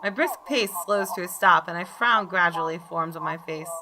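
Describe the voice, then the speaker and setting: A woman reading visual novel story text aloud in a measured, expressive, deliberate way. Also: fairly low pitch, a crowd in the background. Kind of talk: dramatic narration of story text. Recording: clearly noisy background.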